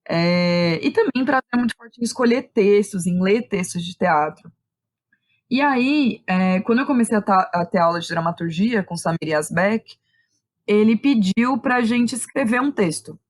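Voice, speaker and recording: A woman's voice: medium pitch, no room sound. Casual São Paulo Brazilian woman, close dry sound. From Santo André, São Paulo, Brazil. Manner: informal, conversational, uses frequent tag questions. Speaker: guest, theatre director and playwright. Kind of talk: casual interview chat.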